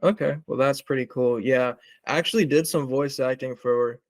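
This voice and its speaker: A man's voice: medium pitch, normal speed, no echo, studio quality. A young man hosting an interview, talking casually with lots of "like" fillers. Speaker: interviewer, project creator.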